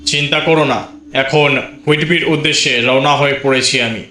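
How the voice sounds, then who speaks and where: A man playing a calm, authoritative professor who speaks decisively as he replies by letter in an audio horror drama. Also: mid-range voice, moderate pace, close to an everyday voice. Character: calm, authoritative professor replying to his former pupil. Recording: roomy echo, faint background noise.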